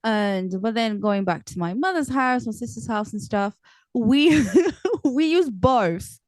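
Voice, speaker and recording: A middle-aged woman whose first language is Portuguese, hosting a podcast and chatting in a relaxed, conversational way. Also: fairly high pitch, takes it slowly, dry recording. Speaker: podcast host. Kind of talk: casual podcast chat.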